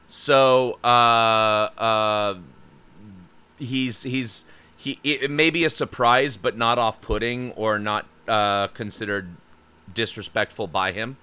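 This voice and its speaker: A man's voice: fairly low pitch, steady tone, slow, sharp tone, smooth. A man playing a tabletop game, hesitant with lots of fillers and restarts. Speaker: player. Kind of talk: tabletop game play, in and out of character.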